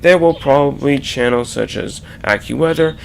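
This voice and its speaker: A man narrating a slide presentation in a halting, explanatory way. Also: mid-range voice, creaky, poor audio. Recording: faint background noise.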